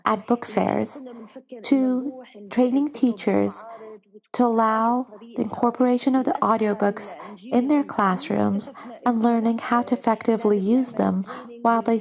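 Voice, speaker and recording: Woman, somewhat high voice, creaky fry, formal, measured interview guest. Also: darkish tone, rough recording. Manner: measured, formal, reads like prepared answers. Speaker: guest, social entrepreneur. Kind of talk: podcast interview answers.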